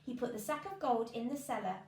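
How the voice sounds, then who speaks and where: A woman in her thirties reads a children's storybook expressively. Her voice is fairly high. She is recorded in an echoey living room with faint noise. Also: fast talker, gravelly, a little mellow. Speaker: teacher reading a story. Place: living room at home, indoors. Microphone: camera a few feet away.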